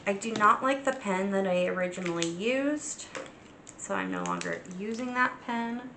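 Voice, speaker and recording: A woman hosting a casual crafting vlog, stuffy and sniffly from a cold, talking in an unhurried way with plenty of ums and restarts. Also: medium pitch, creaky, roomy sound, background noise. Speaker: crafting channel host. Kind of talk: casual show-and-tell vlog.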